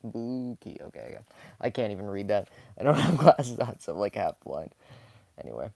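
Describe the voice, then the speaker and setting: A hoarse young man talks casually while sketching live, rambling softly with many fillers and small laughs. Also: lowish voice, big pitch swings, moderate pace, dry recording, clean studio sound. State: hoarse, scratchy from talking too much. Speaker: live-streaming sketch artist. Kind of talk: casual commentary while drawing.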